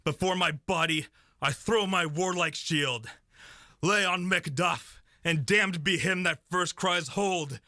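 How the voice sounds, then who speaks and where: Student guy doing a proud doomed tyrant king, declaiming shakespeare for a radio play, forceful sharp voice. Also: sits high, slow, dry recording, full character voice. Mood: furious, defiant. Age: young adult. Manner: declamatory verse delivery. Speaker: student actor.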